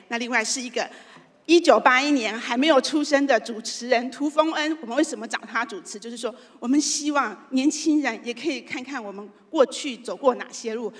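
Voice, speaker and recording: Echoey, high-voiced Taiwanese Mandarin woman. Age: middle-aged. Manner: often ends phrases with soft particles. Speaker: award winner, media executive. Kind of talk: acceptance speech. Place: large indoor theatre stage. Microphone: handheld microphone. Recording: reverberant theatre stage sound.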